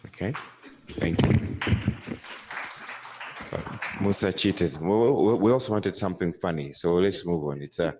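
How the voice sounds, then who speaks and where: Deep-voiced man moderating a panel. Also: crowd noise, background noise. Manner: brisk and jokey, repeats words. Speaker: session moderator. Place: conference hall.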